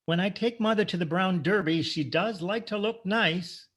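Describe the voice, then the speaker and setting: A timid, well-mannered mama's boy from a comic radio play, played by a man. He speaks in a slightly high voice, formal and eager to please. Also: moderate pace, a bit bright. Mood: earnest, deferential. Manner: formal, deferential. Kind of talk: comic old-time radio play acting.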